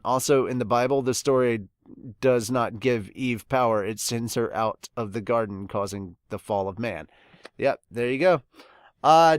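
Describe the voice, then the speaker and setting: A man chatting casually as a podcast host, reading comments aloud and reacting in an animated but halting way. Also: mid-range voice, clear, resonant tone, no echo, studio quality.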